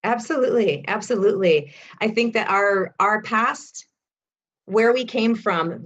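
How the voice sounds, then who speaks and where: A woman hosting a talk show, interviewing a guest in a conversational style. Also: lowish voice. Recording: dull lo-fi sound.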